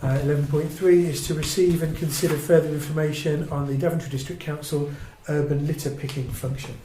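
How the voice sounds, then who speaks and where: Higher-voiced English man chairing, echoey room. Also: steady tone, fairly quick. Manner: polite, procedural, hesitant. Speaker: council meeting chair. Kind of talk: formal meeting procedure. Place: indoor parish council meeting room. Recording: echoey meeting room, clear background noise.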